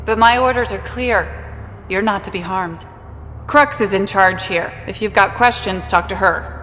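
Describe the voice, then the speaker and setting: A woman's voice: mid-range voice, unhurried, a bit bright, long reverb tail, slight background noise, lightly acted. A woman playing a tough, curt guard of an outlaw gang in a video game, clipped and commanding. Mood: cool, guarded.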